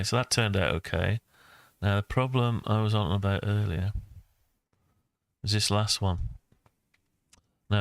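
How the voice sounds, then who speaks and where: Low, even-toned older man, thinking aloud. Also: clear, resonant tone, no echo. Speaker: maker and video host. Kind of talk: casual screen-recorded tutorial talk.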